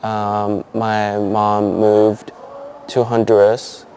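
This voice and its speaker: Slow, deep, mellow young man. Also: completely flat delivery, a little hiss or hum. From Canada. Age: 20s. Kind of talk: self-introduction.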